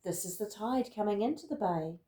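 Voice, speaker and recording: A woman reading a rhyming picture book aloud in an expressive, deliberate storytelling manner. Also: mid-range voice, roomy sound. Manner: dramatic, deliberate storytelling with emphatic words. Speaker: storybook reader.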